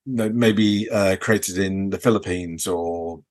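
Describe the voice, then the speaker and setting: A man's voice: low voice, takes it slowly, darkish tone. A man co-hosting a casual podcast, telling things in a rambling, repetitive way. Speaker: podcast co-host. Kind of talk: casual chat, anecdotes.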